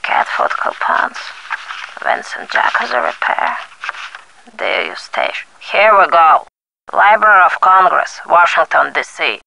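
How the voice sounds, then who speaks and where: A woman's voice: lowish voice, sing-song, measured pace, creaky, pushed and bright, full character voice, poor audio. An actress playing a crotchety, sardonic ninety-six-year-old woman in a video game, curt and dryly morbid. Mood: grumpy. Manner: curt, dry, morbid wit.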